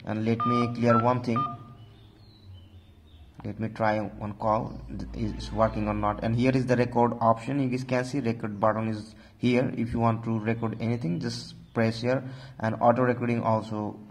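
A man with a mid-pitched voice hosts a phone tutorial. He narrates informally, guiding the listener step by step and often returning to the same stock phrases. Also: little pitch movement, moderate pace. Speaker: tech tutorial host.

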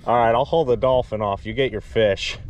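A young man hosting a fishing vlog, chatting casually and playfully with excited exclamations while wading outdoors. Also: mid-range voice, fairly quick, muffled recording, noisy background. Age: young adult. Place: outdoors wading in open shallow water. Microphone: action camera, handheld or body-worn.

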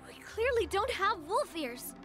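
A woman voicing an anxious, plucky young heroine in animated game acting. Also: very high-pitched, unhurried, exaggerated voice. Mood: worried. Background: game music.

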